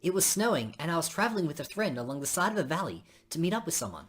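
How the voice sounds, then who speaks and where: Very low, husky-voiced woman. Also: sing-song, rapid-fire. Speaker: streamer reading a visual novel aloud. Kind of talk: reading game dialogue aloud with casual asides.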